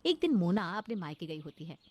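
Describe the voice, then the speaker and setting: A woman's voice: lowish voice, big pitch swings, fairly quick, darkish tone. A woman storyteller reading a scripted narration of a family moral tale.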